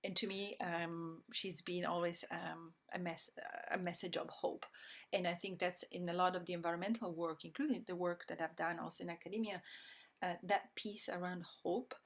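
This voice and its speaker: A woman with an Italian accent, a guest in an interview, answering thoughtfully with many pauses, ums and restarts. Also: on the low side, steady tone. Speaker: guest, systems thinking educator.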